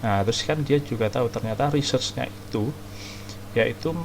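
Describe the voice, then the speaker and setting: A man's voice: medium pitch, fairly quick, slight background noise. A young man with an Indonesian accent, rambling chattily as he recaps a game story for viewers. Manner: rambling, chatty, many fillers. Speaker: gaming streamer. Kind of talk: casual let's-play commentary. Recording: voice over loud game music.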